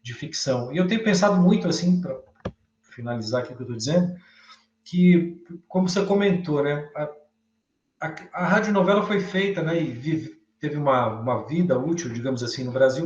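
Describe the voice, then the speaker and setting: A Brazilian man giving thoughtful, halting commentary in a class discussion, thinking aloud as he goes. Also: on the high side, a little mellow. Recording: echoey room, dull lo-fi sound.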